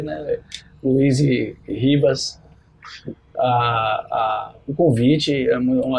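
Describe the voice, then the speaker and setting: A man's voice: mid-range voice, vocal fry, a little mellow, breathy edge, poor audio. A man with a Bahian Brazilian accent, speaking conversationally as a podcast guest about his own experience. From Salvador, Bahia, Brazil. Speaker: podcast guest, biology professor and researcher. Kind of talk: conversational account of own career.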